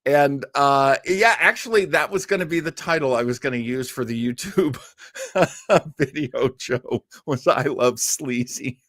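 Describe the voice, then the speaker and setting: A man's voice: medium pitch, lively intonation, unhurried, fairly bright tone, no echo, studio quality. A man in his early sixties hosting a livestream, chatting casually with viewers in a rambling way with jokey asides. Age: early 60s. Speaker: host of a livestreamed classic radio listening show.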